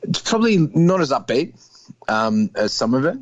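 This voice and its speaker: A man in his thirties with a deep voice, a guest musician talking casually in an interview, laid-back and hedging with lots of fillers. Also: very animated, normal speed, no echo, highs cut off. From Australia. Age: 30s.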